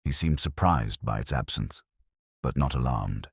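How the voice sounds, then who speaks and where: A man reading a scripted first-person detective story in a measured, reflective, literary manner. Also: very deep voice, animated, creaky, breathy edge, no room sound, studio quality.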